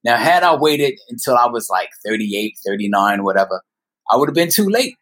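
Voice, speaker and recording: A man in his fifties telling personal stories as a podcast guest, speaking earnestly and haltingly, repeating words for emphasis. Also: mid-range voice, big pitch swings, fairly bright tone. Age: 50s. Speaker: guest storyteller. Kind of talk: personal storytelling in a conversational interview.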